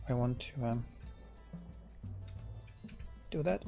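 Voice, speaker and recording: A middle-aged man with a Dutch accent explains code on a live stream at a slow, unhurried pace. His voice is gravelly, and he stops often with fillers and restarts. Faint music plays behind him at his desk. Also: mid-range voice. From Netherlands. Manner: relaxed, hesitant with many fillers and restarts. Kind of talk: live coding stream, thinking aloud.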